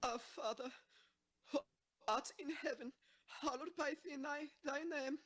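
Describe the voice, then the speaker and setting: A woman playing a terrified, doomed young girl in an audio drama, crying and halting. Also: high voice, a bit bright. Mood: terrified, sobbing. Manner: halting, tearful. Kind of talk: fan audio drama acting.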